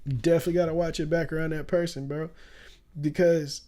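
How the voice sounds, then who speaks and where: A young man talks casually on a stream, loose and full of slang, laughing easily. His voice sits a little high but sounds warm and mellow, with a faint rasp. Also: little pitch movement, quick pace. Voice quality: raspy at times. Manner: loose, slangy, laughs often, says "bro" a lot. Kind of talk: casual livestream commentary.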